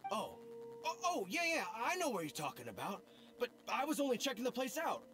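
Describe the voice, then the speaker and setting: A bumbling greenhorn thief in a game, voice pitched fairly high and bouncing all over as he stammers and drawls his folksy slang, over game music. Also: huge pitch swings, a little fast, heavily acted. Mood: sheepish. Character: bumbling young male apprentice thief, nervous and folksy. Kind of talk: video game cutscene voice acting. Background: game soundtrack music.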